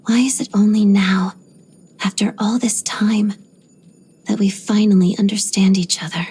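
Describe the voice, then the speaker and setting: Casting: a stoic young female ballerina for a video game; soft, husky-breathy voice, restrained and precise, introspective monologues. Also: medium pitch, steady tone. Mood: wistful, bitter. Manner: measured, restrained, articulate. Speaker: video game character voice actor.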